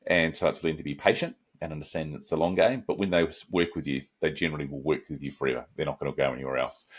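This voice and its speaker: A middle-aged man with a New Zealand accent, a guest answering interview questions in a relaxed, reflective way. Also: low voice. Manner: relaxed, reflective, with frequent restarts and asides.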